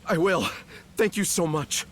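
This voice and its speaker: A humble village father in a video game. His voice is fairly high, effusive and deferential, with faint ambient sound around it. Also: unhurried, fairly bright tone, a little hiss or hum. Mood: grateful. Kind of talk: dramatic video game acting.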